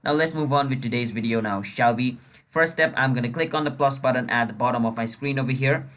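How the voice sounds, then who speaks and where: A man's voice: mid-range voice, quick pace, a bit bright. A man narrating a screen-recording tutorial in a chatty, informal way, speaking directly to viewers as the channel's host.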